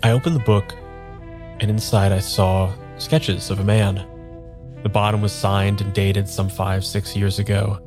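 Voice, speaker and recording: Audio drama lead for a male actor: apologetic, uneasy man with no memory, lowish voice, halting delivery, music bed. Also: little pitch movement, natural voice. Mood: quiet wonder. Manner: halting, repeats himself, hesitant. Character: uneasy amnesiac man, apologetic and wistful, narrating his search. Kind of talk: improvised audio drama, first-person narration and dialogue.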